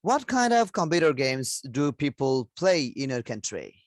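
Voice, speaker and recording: A teacher acting as examiner, asking mock exam questions in a measured way with fillers. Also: mid-range voice, very animated, no room sound, studio quality.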